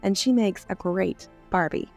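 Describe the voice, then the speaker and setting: A woman co-hosting a podcast in bubbly casual conversation, her voice slightly hoarse from illness. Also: mid-range voice, measured pace, a little mellow, faint music behind. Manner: bubbly, enthusiastic storyteller. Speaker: podcast co-host. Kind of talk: casual chat.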